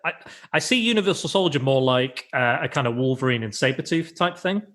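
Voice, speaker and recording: A man's voice: mid-range voice, expressive, brisk, fairly bright tone. Rambling man, casual film chat. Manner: rambling, many restarts and ums. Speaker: podcast co-host.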